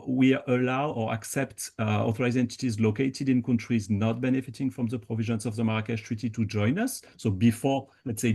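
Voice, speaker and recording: A man answering audience questions at a conference panel, explaining in a measured way with frequent pauses and fillers. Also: mid-range voice, darkish tone, slightly rough.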